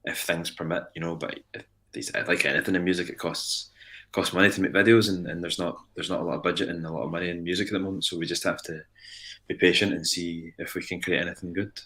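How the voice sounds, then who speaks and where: Fast-talking deep-voiced Scottish man. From Scotland. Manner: animated, rambling with frequent restarts. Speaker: musician guest. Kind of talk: casual interview chat.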